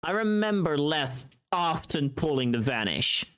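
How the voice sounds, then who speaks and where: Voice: mid-range voice, very animated, a little slow, fairly bright tone. A livestreamer chatting casually with viewers in a playful, teasing, rambling way. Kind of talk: casual chat with live viewers.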